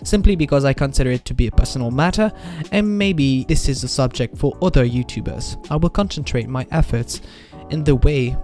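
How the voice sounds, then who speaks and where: A man's voice: medium pitch, a little fast, few pauses, darkish tone. Man narrating a scripted video essay over music.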